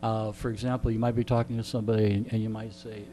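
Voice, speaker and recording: A man's voice: on the low side, level tone, quick pace, mellow, slight background noise. A middle-aged man in casual radio chat, teasing wryly and rambling with repeated phrases. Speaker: radio entertainment presenter, guest.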